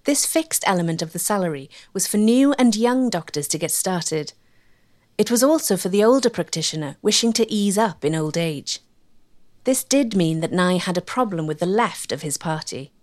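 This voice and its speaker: A woman's voice: on the low side, expressive, a little mellow. Woman narrating a history documentary. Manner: measured, articulate.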